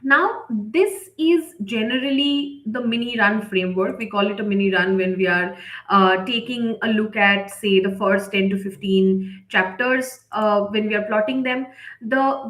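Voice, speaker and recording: A woman's voice: medium pitch, fairly bright tone. A woman presenting an instructional live session, explaining step by step in a conversational way and often checking in with short tag questions. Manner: conversational, often tags sentences with "right?" or "okay?". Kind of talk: instructional webinar talk, explanatory. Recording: echoey, roomy sound.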